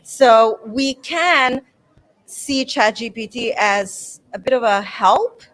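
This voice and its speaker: A woman's voice: on the high side, expressive, slow, a bit bright, low-quality recording. A middle-aged woman from the Balkans, a Serbo-Croatian native speaker, answering conference interview questions earnestly and thoughtfully, with many fillers. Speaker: materials writer and incoming association president.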